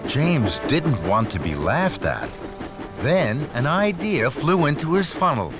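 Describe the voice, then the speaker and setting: A man narrating a scripted children's story in an expressive storytelling manner. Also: on the high side, slow. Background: music.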